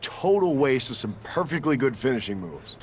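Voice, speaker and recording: An actor playing a smug, condescending young pro wrestler in a video game cutscene. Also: male voice, medium pitch, big pitch swings, moderate pace, a little hiss or hum, natural voice. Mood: smug musing. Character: cocky young male pro wrestler taunting rivals.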